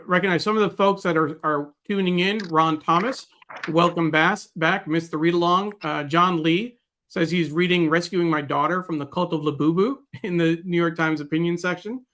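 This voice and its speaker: A man co-hosting a live discussion show, speaking casually in a halting, hesitant way. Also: on the high side, steady tone, fairly bright tone.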